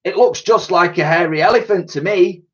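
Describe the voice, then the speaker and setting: Tiny old Welsh soldier, done by a man, sceptical, excitable, storybook character, leaping high pitch, slightly dull recording. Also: normal speed. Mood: scoffing.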